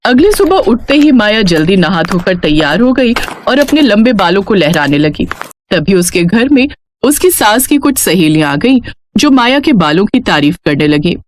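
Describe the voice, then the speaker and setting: A woman's voice: mid-range voice, sing-song, normal speed, a little hiss or hum. A woman narrating a scripted moral story as its storyteller.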